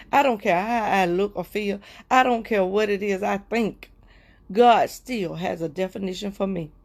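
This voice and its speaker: A woman's voice: medium pitch, gravelly, a little mellow. Woman giving an emotional spoken testimony. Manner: emotional, repetitive, preacher-like cadence. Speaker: livestream host. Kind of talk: heartfelt personal testimony to viewers.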